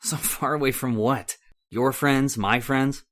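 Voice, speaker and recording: A man's voice: mid-range voice, measured pace, a bit bright, close to an everyday voice. A man playing a haunted, domineering chemist in radio horror, with broken, repetitive, brooding speech. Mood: curt, domineering. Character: haunted, obsessive male chemist, domineering and bitter. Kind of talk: old-time radio horror acting.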